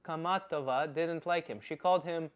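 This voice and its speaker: A man's voice: a little high, close to an everyday voice. Man as blunt philosophizing Slavic uncle. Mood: casual, opinionated. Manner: philosophizing, blunt, halting and reflective. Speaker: livestream host. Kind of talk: casual livestream talk, answering chat comments.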